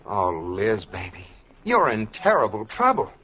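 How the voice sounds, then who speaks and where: An actor playing an earnest, anxious, overprotective husband in 1940s radio comedy, melodramatic and stammering. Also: male voice, on the low side, wildly swooping pitch, a little slow, a bit bright, exaggerated voice. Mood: tender, solemn. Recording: dull old radio recording.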